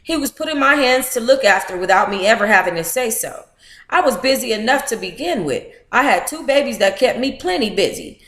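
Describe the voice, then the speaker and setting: Woman, American accent, informal book club read-aloud, playful candid asides, animated delivery, roomy echo. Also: fairly high pitch, a little fast. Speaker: book club host reading a memoir aloud.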